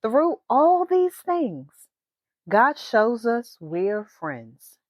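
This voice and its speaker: A woman voicing a gentle, reassuring mother in a children's storybook, tender and drawn-out. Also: a little high, very animated, dry recording, muffled recording, voice pushed up, heavily acted. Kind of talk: children's storybook read-aloud.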